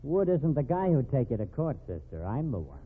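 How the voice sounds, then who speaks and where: A man's voice: medium pitch, very animated, brisk, slightly rough. An actor playing a shady, bullying male private investigator in an old-time radio crime drama, with hard-boiled, blustering talk. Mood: cool, hard. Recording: muffled old radio recording.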